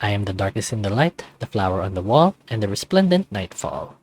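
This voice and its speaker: Animated man narrating a visual novel. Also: on the low side, brisk, slightly rough. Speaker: let's play host. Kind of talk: reading a visual novel aloud.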